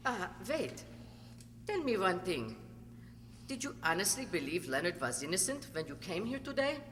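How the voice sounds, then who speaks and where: A woman's voice: fairly low pitch, sing-song, fairly bright tone. Woman acting a haughty femme fatale, swooping voice. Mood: cold, secretive. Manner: theatrical, haughty, deliberate. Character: cold, bitter Viennese ex-actress, scornful and secretive. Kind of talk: radio drama acting. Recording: echoey hall, noisy hum.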